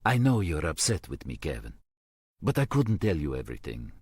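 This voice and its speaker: A man's voice: a bass voice, huge pitch swings, moderate pace, a little mellow, breathy edge, no echo, clean studio sound, exaggerated voice. A man voicing an anxious middle-aged priest who speaks earnestly and apologetically. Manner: earnest, pleading.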